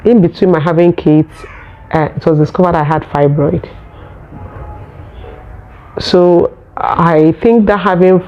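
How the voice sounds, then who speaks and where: A Nigerian woman who speaks English as a second language, telling her own story in a vlog in an earnest, unhurried way. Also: fairly low pitch, dull audio. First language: Nigerian local language. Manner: earnest, unhurried, searching for words. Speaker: vlogger.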